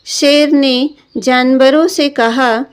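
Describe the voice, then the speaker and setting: A woman narrating a scripted children's story in a deliberate storyteller's manner. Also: high voice, fairly even intonation, smooth. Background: background music.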